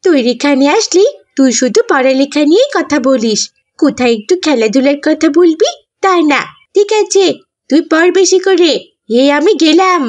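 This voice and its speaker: A woman's voice: high voice, expressive, moderate pace. A woman voicing a boastful, scornful schoolgirl in a children's cartoon, sassy and scolding. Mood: boastful, annoyed. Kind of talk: scripted cartoon dubbing.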